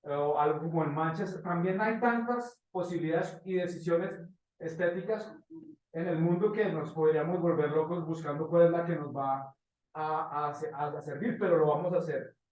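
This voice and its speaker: A man teaching informally to a class, pausing to search for phrases and checking in with short questions, recorded at a distance in an echoey room. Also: on the high side, a little mellow. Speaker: motion graphics teacher. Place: indoor classroom.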